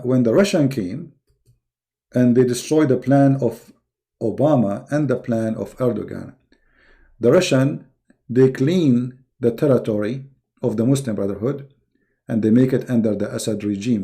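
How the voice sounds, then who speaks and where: A man with an Arabic accent speaks in a very dark, mellow voice of middling pitch with plenty of gravelly creak. A native Arabic speaker, he delivers informal political commentary off the cuff. He is emphatic, often restating a point in new words. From Middle East. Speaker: live-stream host and commentator.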